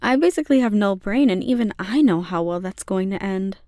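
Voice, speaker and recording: Lady doing a sweet caring slime monster girl for asmr, soft n soothing, mellow tone, super dry close sound, bit teasing. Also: mid-range voice, animated, fairly quick, no room sound, natural voice. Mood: incredulous teasing. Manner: soft, soothing, intimate delivery. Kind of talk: ASMR roleplay.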